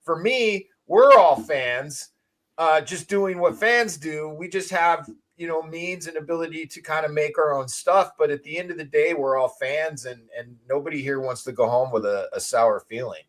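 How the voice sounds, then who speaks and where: A man with a slightly high-pitched voice talks casually as part of a panel. His delivery is conversational and informal, like a chat among fellow hobbyists. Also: moderate pace, fairly bright tone. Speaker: panel member.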